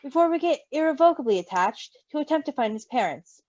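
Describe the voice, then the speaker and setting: A woman's voice: high voice, very animated, quick pace, darkish tone, lo-fi sound. Woman reading as a formal, pedantic man. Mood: measured. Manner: precise, formal. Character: formal, level-headed, pedantic man named Logan.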